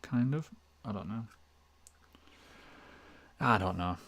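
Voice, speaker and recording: A man's voice: fairly low pitch, slow, gravelly, fairly bright tone. A man hosting a casual live question-and-answer stream, chatty and self-deprecating, rambling through asides. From United Kingdom. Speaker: crypto livestream host.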